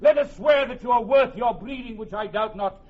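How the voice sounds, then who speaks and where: An actor playing a heroic, commanding young English warrior king, declaiming in a rousing Shakespearean radio drama style. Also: extremely high pitch. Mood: rousing, exhorting. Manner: declamatory, rousing, drawn-out emphatic phrases. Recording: muffled old radio recording.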